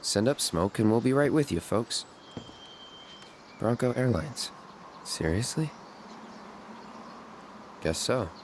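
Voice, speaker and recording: An actor playing a terse, world-weary young mercenary in a video game, deadpan and brief. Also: male voice, medium pitch, slow, long pauses, a little hiss or hum. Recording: music under the voice.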